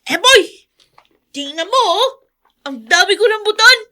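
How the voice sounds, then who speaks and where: A little Filipino boy who loves to taunt and brag. The voice is extremely high and sharp, with a sing-song teasing delivery made for radio drama. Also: animated, measured pace, heavily acted. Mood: gloating, teasing. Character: taunting, boastful little boy, a playground tease.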